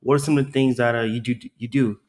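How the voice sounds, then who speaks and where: A man at a middle pitch, hosting a casual podcast. He asks his questions loosely and haltingly, with slang and fillers. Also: steady tone, darkish tone. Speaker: podcast host.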